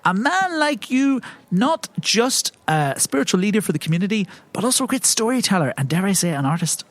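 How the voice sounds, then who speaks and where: Man playing a swooping, flattering investigator. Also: high-pitched, fairly quick, dry recording. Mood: gushing, flattering. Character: eager, scheming male investigator, flattering and theatrical. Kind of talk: improvised tabletop roleplay.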